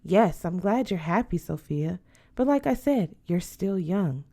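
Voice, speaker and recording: A woman's voice: fairly low pitch, expressive, a little slow, mellow. An affectionate older godmother from a storybook, acted out by a woman reader. She is proud and a little fussy, and she explains things firmly and with emphasis, like someone used to giving advice. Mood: gently advising.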